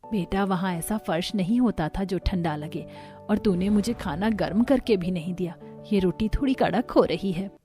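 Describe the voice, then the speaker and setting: A woman's voice: mid-range voice, moderate pace, warm tone, faint music behind, close to an everyday voice. A woman voicing a meek, hurt, poor elderly mother in a cartoon story, pleading and plaintive. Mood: meek, complaining. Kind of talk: Hindi cartoon story dialogue.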